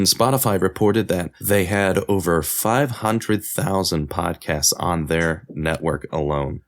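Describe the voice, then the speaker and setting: A man hosting a podcast, reading scripted narration as a creator of audio drama. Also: low-pitched.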